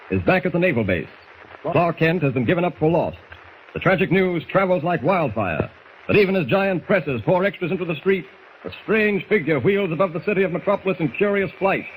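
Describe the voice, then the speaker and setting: A man's voice: a little high, rough recording. A man narrating an adventure serial as a 1940s radio announcer, urgent, grandiose and theatrical. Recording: vintage radio recording, faint noise.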